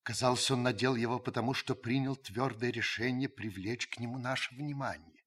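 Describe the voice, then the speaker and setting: An actor playing a grave, watchful older householder who narrates a radio drama, husky and breathy, with solemn dramatic pauses. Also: male voice, medium pitch, steady tone, a bit bright. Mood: solemn, tense. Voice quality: husky, breathy, sinking to whispers. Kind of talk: dramatic literary narration, old radio play.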